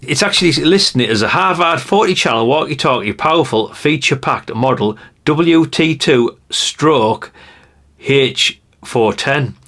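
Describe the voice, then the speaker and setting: A man's voice: mid-range voice, animated, measured pace, sharp tone. A middle-aged man with a British accent, giving a casual, unscripted show-and-tell in a rambling, chatty way, talking informally to his viewers. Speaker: vlogger showing vintage CB radios and catalogues.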